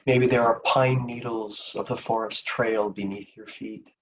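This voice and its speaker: A man leading a guided meditation in a gentle, soothing, unhurried manner with long pauses between phrases. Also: lowish voice, fairly even intonation, far from the mic, lo-fi sound, slight background noise.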